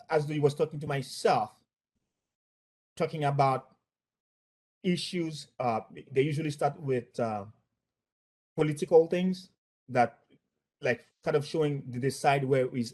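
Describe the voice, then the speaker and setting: A man from Haiti with a Haitian accent gives a spontaneous account of workplace incidents, speaking haltingly and often repeating words and phrases. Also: fairly high pitch, darkish tone. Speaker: former bank employee giving testimony.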